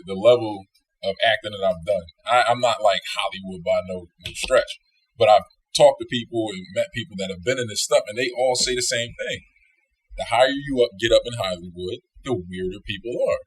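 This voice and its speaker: A man's voice: on the low side, fairly quick, breathy edge, poor audio. A man co-hosting a podcast in casual, slangy chat, often checking that listeners follow him. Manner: slangy, repeats filler tags like "you know what I mean". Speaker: podcast co-host.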